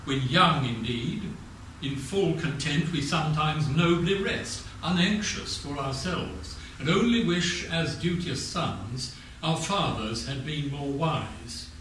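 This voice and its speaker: An older man in his seventies with an English accent, formally reciting poetry in a measured, declamatory manner. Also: on the high side, expressive, fairly bright tone. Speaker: reader of poetry at a talk. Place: community meeting room with audience. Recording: very echoey room, distant mic, faint noise.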